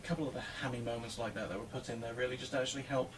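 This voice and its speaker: A man's voice: medium pitch, fairly even intonation, very fast, a little hiss or hum. A man with a Welsh accent, a co-host reviewing films in casual chat, talking in a chatty way with stumbling restarts. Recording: distant mic with faint music.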